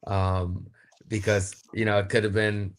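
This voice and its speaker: Low-voiced man explaining things casually. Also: little pitch movement, measured pace. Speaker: wrestler, interview guest. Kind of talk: casual interview storytelling.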